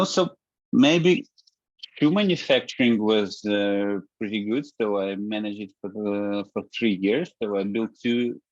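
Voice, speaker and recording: A man, a guest in an interview, talking in a halting way with many restarts and fillers. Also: on the low side, no echo, muffled recording. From Ukraine. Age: 30s. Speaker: guest, startup founder. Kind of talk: casual interview talk.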